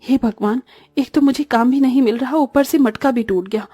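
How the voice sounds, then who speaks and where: Woman as a soft, weary eldest sister. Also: sits high, normal speed, breathy edge, no room sound. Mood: weary, worried. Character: burdened, caring orphaned eldest sister, young woman. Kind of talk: Hindi cartoon story voice acting.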